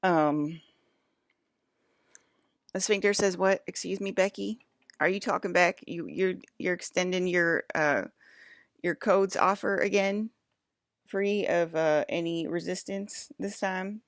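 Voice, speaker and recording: A woman's voice: lowish voice, slow, long pauses, no room sound, studio quality. A woman in her fifties talking straight to her viewers in a rambling, confrontational monologue, full of fillers and swearing. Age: 50s. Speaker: video blogger.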